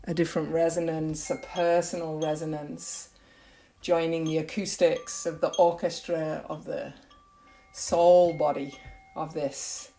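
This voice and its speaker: A woman yoga nidra teacher leading a guided meditation in a gentle, unhurried, soothing way with long pauses. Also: low voice, little pitch movement, faint music behind, poor audio.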